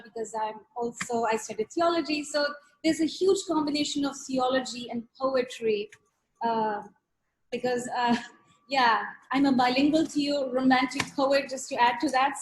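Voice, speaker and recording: A woman from Pakistan with a high voice talks about her artwork over a video call. She is earnest and reflective and repeats words to stress them. The sound comes through a call connection. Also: poor audio. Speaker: artist. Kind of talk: reflective interview talk.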